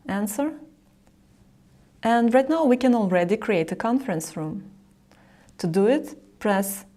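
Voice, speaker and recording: Woman doing a how-to screen recording voiceover, medium pitch, explains stuff step by step to the viewer. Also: warm tone. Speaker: software tutorial presenter. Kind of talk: instructional screen-recording narration.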